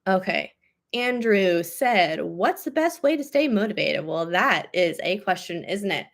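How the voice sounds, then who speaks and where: A woman's voice: medium pitch, big pitch swings, measured pace. A woman in her thirties hosting a live question-and-answer stream as a language coach, talking casually and upbeat with lots of "um" and "like". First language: English. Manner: chatty, upbeat, many fillers like "um" and "like".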